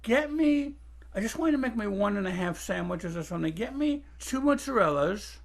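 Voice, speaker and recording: A man talking casually and candidly, in a halting way that often repeats phrases. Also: high-pitched, expressive, vocal fry. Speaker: blind interview subject in an audio documentary. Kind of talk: casual candid talk and reminiscence.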